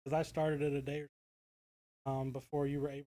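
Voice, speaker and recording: A man's voice: on the high side, level tone, fairly quick, warm tone. An American man co-hosting a podcast in casual discussion, feeling his way through his thoughts in hesitant, circling phrases. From United States. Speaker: podcast co-host.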